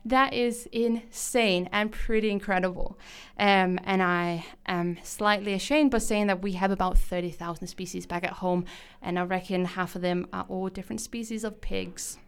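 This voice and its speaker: A woman's voice: mid-range voice, clean tone. A young woman from Denmark with a Danish accent, hosting a podcast in a chatty, enthusiastic way with frequent fillers. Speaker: podcast host. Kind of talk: casual podcast talk.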